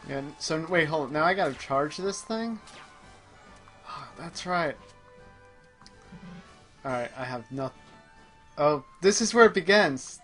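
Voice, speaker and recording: A man's voice: a little high, animated, slow, long pauses, fairly bright tone, faint music behind. A man hosting a gaming show, chatting casually and muttering distracted asides as he plays. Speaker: gaming show host playing the game. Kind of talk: casual chat while playing.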